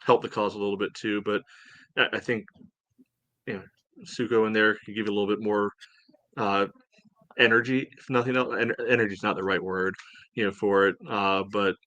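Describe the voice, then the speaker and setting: Low-voiced man in dry, casual sports chat. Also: fairly even intonation, fairly quick, long pauses, pushed and bright, no room sound, muffled recording. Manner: hedging, conversational, frequent "you know". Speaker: podcast co-host, soccer fan.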